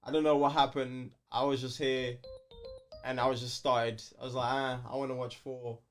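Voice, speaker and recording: A man's voice: medium pitch, flat delivery, normal speed, soft background music. A man with a London accent chatting casually as a podcast co-host, slangy and repeating phrases for emphasis. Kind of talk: casual banter.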